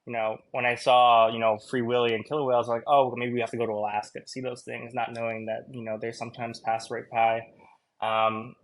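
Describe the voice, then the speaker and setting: A man from Hawaii talking as a guest on a podcast, earnest and thoughtful. He speaks at a middling pitch, pausing on fillers as he works through his thoughts. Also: level tone, low-quality recording. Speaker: podcast guest, marine mammal researcher. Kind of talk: casual podcast interview.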